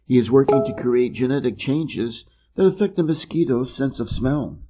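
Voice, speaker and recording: A man from Wisconsin with an American Midwest accent, reading an article slowly and carefully for English learners. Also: medium pitch, animated, loud music behind the voice. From Wisconsin, USA. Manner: measured and deliberate, clearly pronounced. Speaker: reader of an English learning channel. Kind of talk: slow, careful reading aloud.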